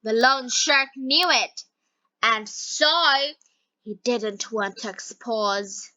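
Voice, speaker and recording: Bright, high-voiced woman narrating slowly. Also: no echo, low-quality recording. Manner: deliberate, word by word, with frequent pauses. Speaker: narrator of an English-learning story. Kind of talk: scripted narration for language learners.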